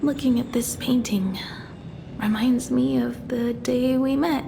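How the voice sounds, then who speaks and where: A woman voicing a wistful, strong-willed young female painter in a video game, often in a soft, breathy murmur. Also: high-pitched, fairly even intonation, unhurried, roomy sound, long reverb tail, background noise. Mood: dreamy, hushed.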